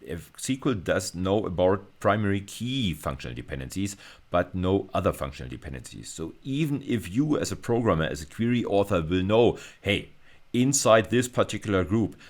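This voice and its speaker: A man's voice: low-pitched, lively intonation, moderate pace. A man lecturing at university level in an informal screencast style, explaining hesitantly and often repeating and rephrasing himself.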